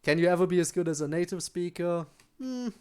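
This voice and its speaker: A man's voice: sits high, animated, fairly quick, darkish tone, clean tone. A young man with a German accent, a native German speaker, casually chatting with his chat while streaming a game, with frequent ums and restarts.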